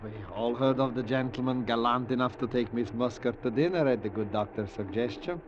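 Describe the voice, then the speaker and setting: A man's voice: medium pitch, brisk, close to an everyday voice. Man acting a sly, oily little fat man. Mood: oily apology. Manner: oily, overly polite. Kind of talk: 1940s radio acting. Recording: muffled noisy vintage radio.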